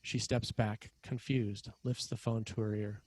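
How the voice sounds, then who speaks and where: A man's voice: on the low side, flat delivery, unhurried, very noisy. A man reading screenplay action lines as narrator at a table read. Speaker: screenwriter narrating stage directions.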